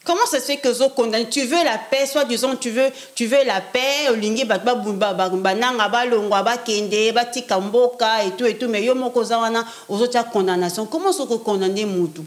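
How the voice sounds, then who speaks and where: A woman's voice: on the high side, a little fast, few pauses. Emphatic young woman, repeats for stress. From France. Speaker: prophetess guest. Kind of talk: casual interview talk, French mixed with Lingala.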